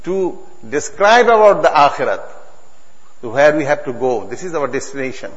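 A man's voice: a little high, animated. Bangladeshi man preaching in a reverberant hall. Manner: earnest, halting, emphatic, repeating phrases in non-native English. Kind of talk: religious sermon to a congregation. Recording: dull recording in a long-reverb hall.

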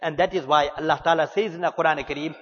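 A man delivers a measured, earnest religious talk in a high voice. His words ring out with a long hall echo. The sound is dull and muffled. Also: steady tone. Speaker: Islamic preacher.

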